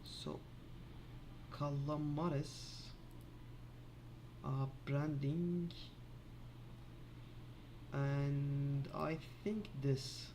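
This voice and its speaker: A man casually thinking aloud in an office while fixing software, halting with many filler sounds. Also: a little high, level tone, a little hiss or hum. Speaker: Linux developer screencasting.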